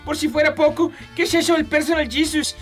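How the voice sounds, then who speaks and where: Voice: high voice, level tone, a little fast, faint music behind, lightly acted. An actor voicing a scheming, indignant cartoonish villain in a parody radio drama, in a melodramatic manner. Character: scheming cartoonish villain sworn to foil heroes.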